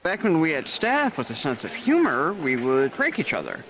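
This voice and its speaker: A man's voice: on the high side, huge pitch swings, moderate pace, background noise. An actor playing a dry, sarcastic, burned-out male nurse showing a new hire around, in an audio drama. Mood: sardonic.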